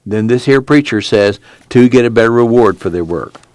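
A man voicing a weary young man in hiding, who speaks in a low, unhurried, folksy rural way. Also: a little mellow, no room sound, lightly acted. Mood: resolute. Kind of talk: audiobook character reading.

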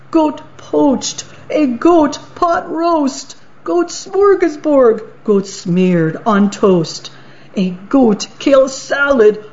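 A woman's voice: a little high, darkish tone, voice pushed up, exaggerated voice. A woman voicing a hungry, boastful male troll who gloats in sing-song rhymes, on a dull lo-fi recording.

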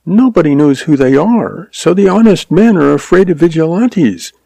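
A man's voice: a little high, lively intonation, normal speed, creaky, dark tone, no room sound, pitched above the performer's own voice. A man voicing an earnest, anxious young frontier woman in a western story, with a quivering, pleading delivery.

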